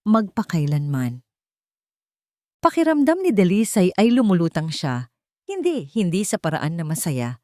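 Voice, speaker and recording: Low-voiced Filipino woman narrating drama. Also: darkish tone, no echo, clean studio sound. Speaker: narrator of a romance story channel. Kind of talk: dramatic scripted audio story narration in Tagalog.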